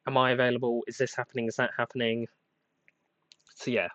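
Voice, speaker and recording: A young man hosting a casual solo podcast, rambling and self-deprecating with many hesitations, a plain somewhat flat voice, getting over a cold. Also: mid-range voice, brisk, dry recording, highs cut off. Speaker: podcast host.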